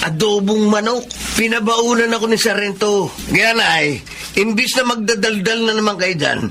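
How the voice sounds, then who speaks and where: An actor playing a cocky, careless thirty-year-old layabout brother in a radio drama, talking in flippant slang. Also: male voice, high-pitched, moderate pace, pushed and bright, slight background noise.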